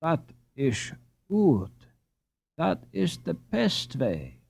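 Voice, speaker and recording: A man's voice: fairly high pitch, huge pitch swings, very slow, vocal fry, a little mellow, slightly rough, full character voice. Man playing a stout Dutch boy, heavily accented. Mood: approving, insistent. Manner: broken English, blunt phrasing. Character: stout, good-natured, weary Dutch boy skater.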